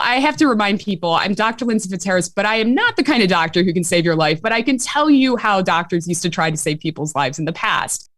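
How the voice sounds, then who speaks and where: A woman with a Chicago accent, an author and medical historian answering interview questions in an animated way, laughing easily. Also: mid-range voice, no room sound. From Chicago, USA.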